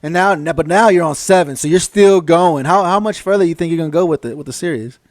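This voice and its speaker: A fast-talking American man hosts a casual podcast in a slightly high male voice. He repeats phrases, swears freely and agrees readily, with a close, dry sound. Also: no room sound. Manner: repeats phrases, profane slang, effusive agreement. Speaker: podcast host, military veteran.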